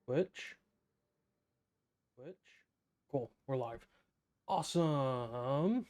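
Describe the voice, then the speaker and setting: A man's voice: mid-range voice, lively intonation, extremely slow, darkish tone. A man streaming a video game live, chatting casually, thinking aloud and reading game text in a laid-back way.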